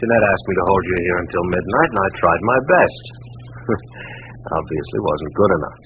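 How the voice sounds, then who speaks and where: Shifty, nonchalant crook: deep voice, smugly resigned, tinny and noisy old radio drama recording. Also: male voice, lively intonation, normal speed. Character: tricky male crook, beaten, smug and resigned. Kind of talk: vintage American radio drama acting.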